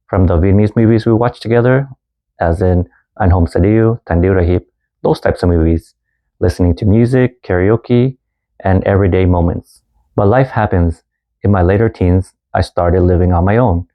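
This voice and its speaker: Deep-voiced man teaching a language lesson. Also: muffled recording. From Canada. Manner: calm, earnest storytelling, switching between Vietnamese and English. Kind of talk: scripted lesson narration.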